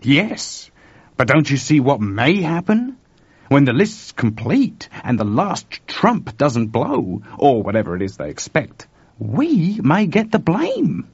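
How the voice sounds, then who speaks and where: A man's voice: on the high side, huge pitch swings, slow pace, a little mellow, breathy edge, no room sound, pitched above the performer's own voice, exaggerated voice. A man voicing an anxious, urgent male technician in a story reading, recorded dull and dry. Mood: worried.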